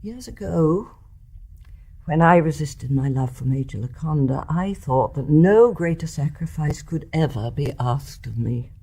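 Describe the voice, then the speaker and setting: An actress playing a grave, resolute older British mother in a radio drama, speaking in a measured, refined and deliberate way. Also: low voice, animated, dark tone, husky, heavily acted. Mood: tender, sorrowful. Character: grave, resolute older English mother confessing her deed.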